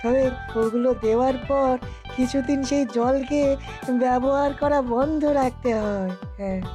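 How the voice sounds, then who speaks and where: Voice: high-pitched, measured pace, music in the background. An actor voicing a helpful, confident village scientist in a Bengali cartoon.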